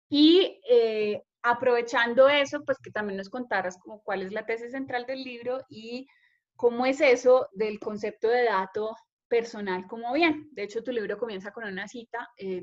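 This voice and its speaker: A woman's voice: fairly high pitch, muffled recording. A woman moderating an academic panel, asking long layered questions in a courteous way.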